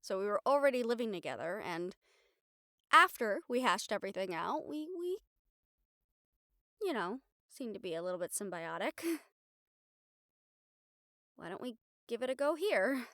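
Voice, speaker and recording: A young woman playing an affectionate, teasing girlfriend in an intimate audio roleplay, speaking in a halting, tender and wry way. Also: a little high, very animated, pushed and bright, no room sound, studio quality, exaggerated voice. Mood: hesitant, playful. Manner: halting, tender, wryly teasing, casually sweary.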